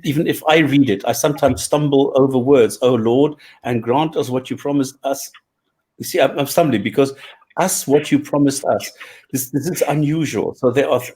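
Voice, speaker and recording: A man's voice: mid-range voice, a little mellow. A man co-hosting a casual talk show, reading out translations and stopping to explain and repeat his points. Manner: measured, repeats himself, stops to clarify points. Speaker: podcast co-host.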